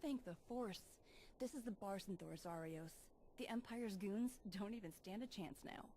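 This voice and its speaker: A woman's voice: medium pitch, dark tone, slight background noise, natural voice. Woman playing a confident young Jedi heroine. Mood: urgent, confident. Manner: assured, brisk. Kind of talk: video game cutscene acting.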